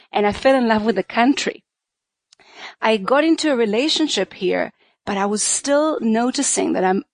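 Interview guest: woman, 40s, from Israel; earnest, halting, filler-heavy speech, dry close recording. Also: on the high side, no room sound. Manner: earnest, searching for words, many fillers. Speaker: guest, pleasure activist. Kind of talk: reflective interview answers.

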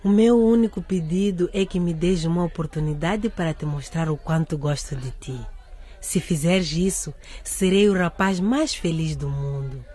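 Husky, excitable teenage schoolgirl. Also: fairly low pitch, moderate pace. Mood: tender reading aloud. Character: teenage schoolgirl, excitable romantic best friend. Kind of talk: radio drama acting.

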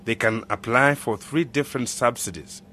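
An actor playing a principled village official in a radio drama, measured, polite and earnest. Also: male voice, medium pitch, sharp tone, a little hiss or hum, lightly acted. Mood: reassuring.

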